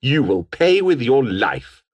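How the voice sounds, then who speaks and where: A man's voice: medium pitch, big pitch swings, takes it slowly. A male actor voicing a menacing, foul-mouthed revolutionary foot soldier with a British accent, taunting and theatrical in video game barks. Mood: menacing, rallying.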